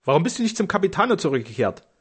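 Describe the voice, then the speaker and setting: A gruff outlaw fresh from escape, as a man reading aloud puts him on. He talks bluntly and mockingly, his breathy tone pushed a bit higher and louder than the narration. A storybook bandit with no warmth. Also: very animated, rapid-fire, exaggerated voice. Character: gruff scheming male brigand on the run.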